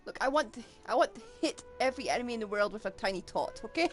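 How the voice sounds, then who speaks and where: A woman's voice: fairly high pitch, lively intonation, fast. A woman doing casual, rambling live commentary as she plays a video game. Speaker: game streamer. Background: game music.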